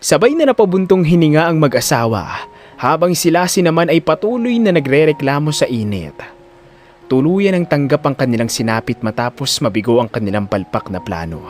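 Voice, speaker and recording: Man narrating a drama with lively intonation. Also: a little high, fairly quick, a little hiss or hum. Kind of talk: dramatic scripted story narration.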